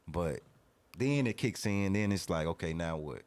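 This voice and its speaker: A man's voice: low voice, animated, moderate pace, a little mellow. A man in his thirties talking casually and earnestly as a podcast guest, opinionated and slangy. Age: 30s.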